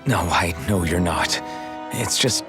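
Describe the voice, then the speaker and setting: A man playing an earnest, protective young swordsman in a video game, speaking haltingly and anxiously. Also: low voice, over music. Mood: worried. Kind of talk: video game cutscene voice acting.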